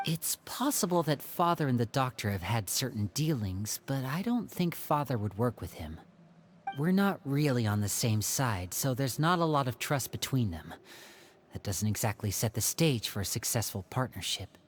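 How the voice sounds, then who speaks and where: A man voicing a poised young man from a game cutscene whose pitch moves around expressively. He explains things calmly and reasonably, aiming to reassure and persuade the listener. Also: moderate pace, slight background noise, close to an everyday voice. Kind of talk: video game cutscene dialogue.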